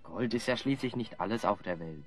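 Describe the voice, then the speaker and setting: An actor playing a level-headed, dryly ironic adventurer hero in an audio drama. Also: male voice, on the low side, brisk, soft background music, natural voice. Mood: resigned, reassuring. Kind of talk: German audio drama acting.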